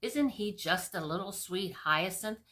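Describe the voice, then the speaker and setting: A woman's voice: mid-range voice, expressive, measured pace, a bit bright. A woman playing a whiny, melodramatic storybook queen who is both doting and imperious.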